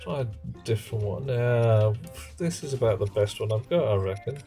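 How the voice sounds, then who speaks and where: A man's voice: mid-range voice, lively intonation, normal speed, warm tone. A man streaming a game live, chatting wryly with viewers in a dry, muttering way. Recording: game stream with background music.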